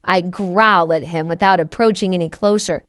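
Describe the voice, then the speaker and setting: A woman's voice: on the low side, normal speed, no room sound. A woman reading first-person scripted novel narration as an audiobook narrator.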